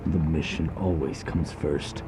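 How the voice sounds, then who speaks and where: A man playing an earnest, protective young soldier in an audio drama, measured and confiding. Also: very deep voice, steady tone, noisy background. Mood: grave. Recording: music playing behind the voice.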